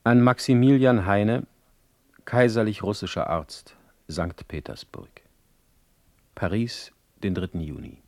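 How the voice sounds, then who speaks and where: Low-voiced, wry literary letter writer. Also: male voice, measured pace, pauses often, no room sound. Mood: wry, confiding. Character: Heinrich Heine, ailing ironic male exiled poet, writing letters. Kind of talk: radio drama letter narration.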